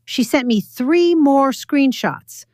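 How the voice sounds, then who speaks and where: A woman's voice: high voice, expressive, slow, a little mellow, no echo, studio quality, pitched above the performer's own voice. A woman voicing an exasperated, incredulous grown daughter pleading urgently in an audio story.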